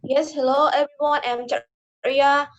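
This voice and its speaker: A young woman from Cambodia, with a fairly high voice, introduces herself simply as a student panelist on an online call. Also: little pitch movement, measured pace, muffled recording. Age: young adult. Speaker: panel speaker, university student. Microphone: online video call.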